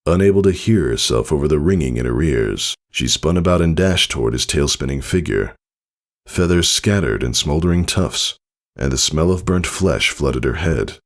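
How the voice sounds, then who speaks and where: A man narrating a scripted story in a dramatic reading style. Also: rumbling low. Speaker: fan fiction narrator.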